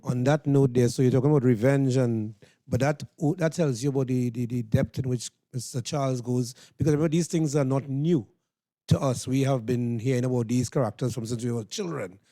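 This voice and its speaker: Very mellow-voiced Trinidadian man interviewing. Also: mid-range voice, brisk, dry recording. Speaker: interviewer and host. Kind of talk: informal on-the-spot event interviewing.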